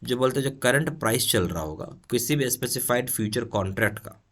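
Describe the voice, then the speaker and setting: A man's voice: medium pitch, lively intonation, quick pace, fairly bright tone. A man with an Indian accent giving an explanatory exam lesson, reading questions aloud and often checking that listeners follow. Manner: instructional, checks in with frequent tag questions. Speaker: exam coaching teacher.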